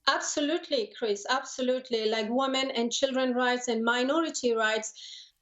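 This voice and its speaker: A woman in her thirties from Afghanistan, speaking earnestly and haltingly as a guest in a news interview. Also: on the high side, fairly bright tone, highs cut off. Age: 30s. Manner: halting, repeats words, earnest. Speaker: interview guest, lawyer and human rights advocate.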